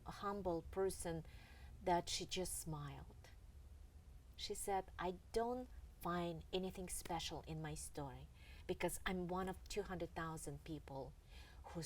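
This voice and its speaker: A middle-aged woman from Russia, an author telling family stories in an interview in a halting, earnest way with many pauses. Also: sits low. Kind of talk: reflective storytelling in an interview. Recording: distant mic with faint background noise.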